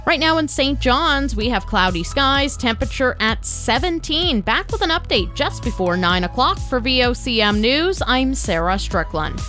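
A woman reading a scripted radio weather forecast in a crisp, measured way. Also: high voice, very animated, sharp tone, soft background music. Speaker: radio newsroom weather reader.

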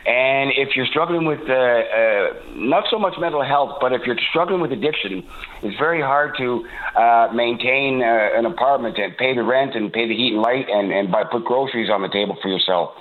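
A man in his sixties from Toronto, calling in to a radio show, talking haltingly with many fillers and restarts, a bit congested from a cold. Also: mid-range voice. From Toronto, Canada. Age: 60s. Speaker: caller. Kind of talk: call-in radio talk. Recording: tinny phone line.

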